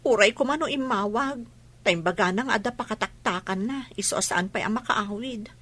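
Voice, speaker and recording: An actress playing an anxious wife who frets urgently in a radio drama. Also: female voice, mid-range voice, normal speed, fairly bright tone, husky. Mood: anxious, fretful. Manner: fretful, urgent. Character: anxious worried wife fretting over missing husband.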